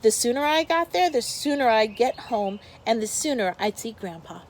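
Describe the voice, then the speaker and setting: Higher-voiced woman reading a storybook aloud. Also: normal speed, a little hiss or hum. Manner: expressive storybook reading with explanatory teacher asides. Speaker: teacher reading a picture book aloud to pupils. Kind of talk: read-aloud storytelling for young children.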